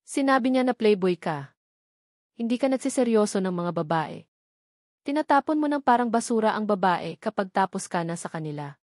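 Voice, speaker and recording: A woman's voice: medium pitch, animated, normal speed, a little mellow, dry recording, clean studio sound, lightly acted. A woman voicing a sulky, jealous young woman in love, pouty and accusing, in a romance story.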